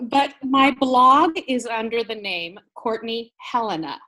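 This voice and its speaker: A woman's voice: a little high, lively intonation, a little slow, pushed and bright, low-quality recording. An American woman, a gardening blogger, chatting as a guest on an interview and telling stories with light laughs. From United States. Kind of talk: casual interview chat.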